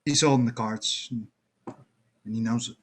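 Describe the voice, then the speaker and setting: A man's voice: mid-range voice, fairly even intonation, a little slow, a bit bright. A man acting a frustrated, wary heist partner in a crime screenplay table read. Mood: worried, resigned.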